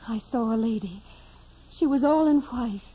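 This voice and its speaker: Devout young peasant girl, high and earnest, vintage radio drama acting, muffled old broadcast. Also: moderate pace, dark tone, slightly rough. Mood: hushed wonder. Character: gentle, devout, sickly young French peasant schoolgirl. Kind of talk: 1940s-1950s radio acting. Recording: dull old radio broadcast recording with music.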